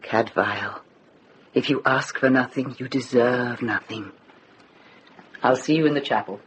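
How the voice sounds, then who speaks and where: A man's voice: mid-range voice, unhurried, noisy background, lightly acted. Man playing a thoughtful, solicitous companion. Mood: pointed, reflective. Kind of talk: radio drama acting.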